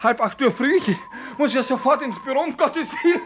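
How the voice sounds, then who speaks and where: An actor playing a timid, apologetic, dutiful office clerk with a hesitant, deferential manner in a 1950s German radio drama. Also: male voice, extremely high pitch, expressive, a little fast. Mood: flustered, apologetic. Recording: muffled, noisy 1950s radio recording.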